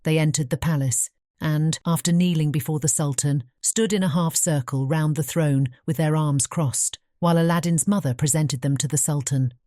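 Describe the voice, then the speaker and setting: A woman narrating a scripted fairy tale as an audiobook, in a measured storytelling manner. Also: deep voice, fairly even intonation, mellow, no room sound, clean studio sound.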